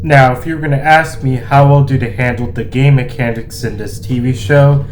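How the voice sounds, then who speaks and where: A young man reviewing a show in a casual, excitable and rambling way, his voice going hoarse. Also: mid-range voice, moderate pace, slight background noise.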